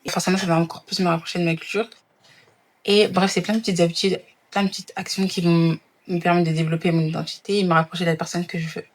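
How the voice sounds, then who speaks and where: Young woman vlogger, kinda low voice, chatty, throws in english bits. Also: level tone, quick pace, a little hiss or hum. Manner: chatty, drops in English phrases. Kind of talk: casual vlog chat.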